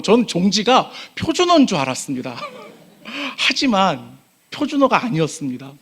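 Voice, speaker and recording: Young male pastor, high voice, earnest sermon delivery that builds on key phrases and softens at line ends. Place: church sanctuary.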